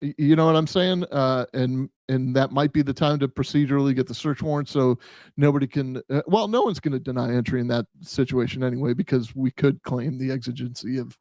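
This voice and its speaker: A man's voice: medium pitch, brisk, a little mellow, dry recording. A man co-hosting a casual true-crime discussion, speaking in a blunt, plain-spoken and opinionated way. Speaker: podcast co-host with police background.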